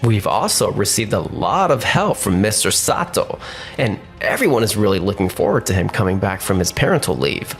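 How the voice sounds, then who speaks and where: A man's voice: lowish voice, sing-song, gravelly. Man acting as an earnest office professional, music behind. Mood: friendly, polite. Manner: polite, measured. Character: earnest, dependable, courteous man of the office world. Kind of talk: anime-style dramatized dub acting and narration.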